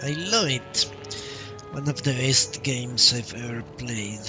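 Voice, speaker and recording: A man's voice: medium pitch, slow pace. A man giving casual live commentary on a game he is playing, musing and a little hesitant as he goes. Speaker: game streamer. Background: game music.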